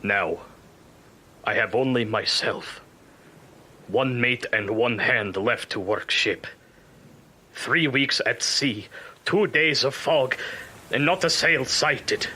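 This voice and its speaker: An actor playing a weary ship's captain, narrating his log gravely in clipped, deliberate phrases in an audio drama. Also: medium pitch, sharp tone, heavily acted. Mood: weary, grim.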